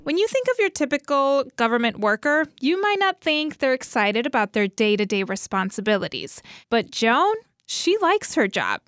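A woman's voice: high voice, very animated, moderate pace, hardly any pauses, a bit bright, no room sound, clean studio sound. A woman narrating a scripted radio feature as a reporter.

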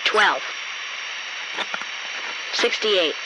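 A woman acts as a creepy child on a shortwave radio in a horror tale. Each word comes extremely slowly, hollow and without emotion, in perfect crisp diction. Heavy static surrounds the filtered voice. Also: medium pitch, lively intonation. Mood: hollow, emotionless. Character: eerie hollow child reading numbers over radio.

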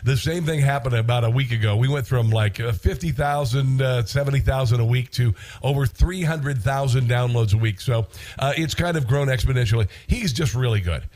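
A man's voice: medium pitch, a little fast, a little mellow. A congested man with an American accent hosting talk radio in a casual, wry monologue, repeating phrases for emphasis.

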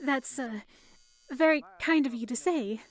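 An actress playing an earnest, dutiful and caring young telephone operator in a 1930s-style radio play. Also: female voice, sits high, a little slow, slight background noise. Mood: shy.

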